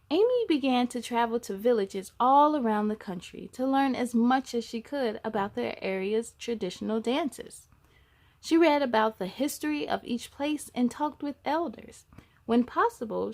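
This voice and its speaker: A woman hosting a storytime, reading a picture book aloud to children in an animated way and speaking directly to young listeners. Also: fairly high pitch. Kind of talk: children's read-aloud with asides.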